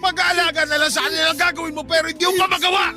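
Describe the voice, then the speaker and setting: An actor playing a grieving, furious father who shouts and sobs accusations at his wife. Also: very high voice, little pitch movement, racing, faint music behind, heavily acted. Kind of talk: audio drama acting.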